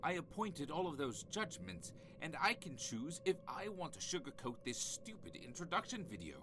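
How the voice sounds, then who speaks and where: An actor playing an ancient, haughty afterlife judge in an audio comedy drama, dry, sarcastic and impatient. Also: male voice, on the high side, big pitch swings, moderate pace, background noise. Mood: irritated.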